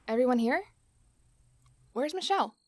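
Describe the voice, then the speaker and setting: A woman's voice: sits high, expressive, normal speed, darkish tone, dry recording, clean studio sound. A woman acting in an audio drama as a tough heist crew leader who is curt, commanding and sardonic.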